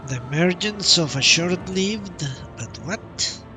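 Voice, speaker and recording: A man giving casual live commentary on a game he plays, halting and muttering to himself in a self-deprecating way. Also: high-pitched, expressive, music in the background. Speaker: game streamer.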